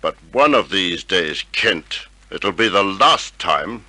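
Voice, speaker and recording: Sharp, raspy police inspector. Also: male voice, sits low, animated, slow, dry recording, exaggerated voice. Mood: suspicious. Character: stern, suspicious male police inspector, gruff and needling. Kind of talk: old-time radio crime drama acting.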